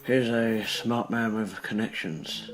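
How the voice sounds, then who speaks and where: An actor playing a frail, earnest, stubborn old businessman who speaks haltingly and pleadingly in a radio play. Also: fairly low pitch, fairly even intonation, music in the background, low-quality recording. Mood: urgent pleading. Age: older.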